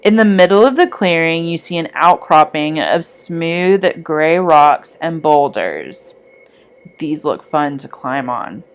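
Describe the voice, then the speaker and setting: A woman reading a scripted guided meditation in a soothing, deliberate manner with long pauses. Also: deep voice, lively intonation, smooth.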